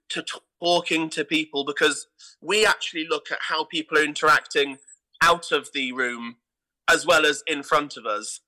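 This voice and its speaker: British guy on a podcast interview, really bright forward voice, bit high, chatty and keen. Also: low-quality recording. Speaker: theatre artistic director, podcast guest. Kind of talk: casual interview chat.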